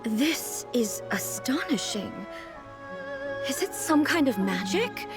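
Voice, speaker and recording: A woman playing a dignified, earnest, naively puzzled ruler in a video game, speaking in a formal, deliberate way. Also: high-pitched, sing-song, heavily acted. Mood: astonished. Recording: music playing behind the voice.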